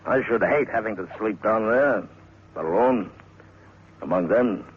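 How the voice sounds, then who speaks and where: An actor playing a cautious, businesslike museum manager in an old radio drama, measured and formal with hesitant asides. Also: male voice, fairly low pitch, slightly rough. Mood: measured warning. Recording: tinny, noisy old radio recording.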